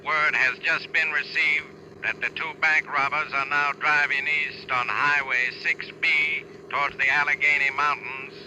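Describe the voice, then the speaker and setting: An actor playing an impersonal American police radio dispatcher reading bulletins in an official, emphatic 1940s radio style. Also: male voice, on the high side, level tone, unhurried, sharp tone, low-quality recording. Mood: urgent, official. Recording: old radio broadcast, faint noise.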